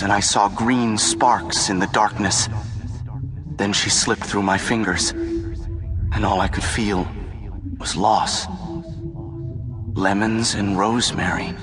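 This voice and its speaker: A man narrating an audiobook in the first person, telling the story in an earnest, measured way. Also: on the low side.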